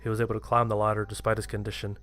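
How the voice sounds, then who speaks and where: A man's voice: on the low side, completely flat delivery, rapid-fire, fairly bright tone, faint music behind. A man reading a scripted first-person horror story as its narrator.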